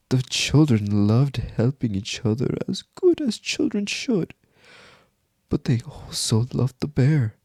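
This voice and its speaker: A man's voice: a little high, gravelly, mellow, no echo, studio quality, voice pushed up, full character voice. A man voicing a sinister children's-show bear character in a sickly sweet, coaxing storybook manner. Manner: sing-song storybook coaxing.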